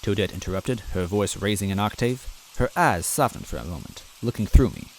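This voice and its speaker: A man's voice: fairly low pitch, lively intonation, fairly quick, low-quality recording. A man reading a fan story aloud in first person, earnest and timid in tone. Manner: earnest and timid, self-deprecating. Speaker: story reader.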